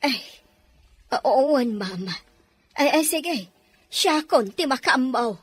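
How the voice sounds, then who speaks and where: Lady doing a scared hesitant nanny for a drama, highish husky voice, always giving in. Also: lively intonation, slow pace, no echo, exaggerated voice. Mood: reluctant, submissive. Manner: hesitant, submissive. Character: timid, fearful female nanny obeying her cruel mistress. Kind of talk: Ilocano radio-style drama acting.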